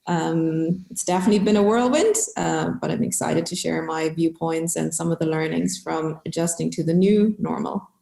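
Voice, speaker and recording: A woman's voice: low-pitched, moderate pace, vocal fry, hardly any pauses, mellow, roomy sound. A woman from Germany with a German accent, a customer success team lead, talking in a chatty, upbeat way in an interview. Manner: chatty and upbeat, with frequent fillers. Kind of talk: casual interview talk.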